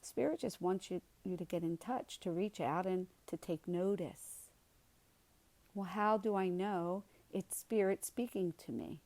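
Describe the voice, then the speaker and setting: A woman leading a guided meditation in a soothing, unhurried way, with soft, gentle delivery and pauses. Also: fairly low pitch, no room sound.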